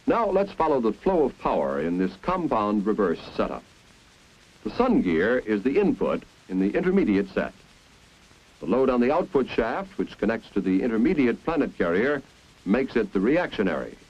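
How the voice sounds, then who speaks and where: An American man reading scripted 1950s instructional film narration in a clear, deliberate, explanatory manner. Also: fairly low pitch, very animated, darkish tone. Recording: dull, band-limited 1950s film soundtrack.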